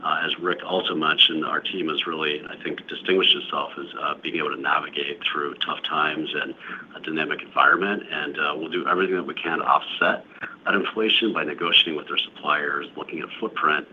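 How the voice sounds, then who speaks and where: A man speaking as a company executive, answering questions on an earnings call in a measured corporate manner, often repeating words. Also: rumbling low, steady tone. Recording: dull lo-fi call audio, faint noise.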